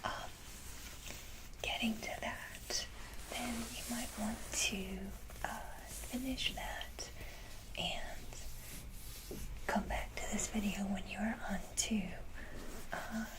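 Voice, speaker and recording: A woman whispering softly in a casual ASMR style, talking to viewers with frequent hesitant pauses mid-sentence. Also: a little high, steady tone, poor audio. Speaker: ASMR video creator.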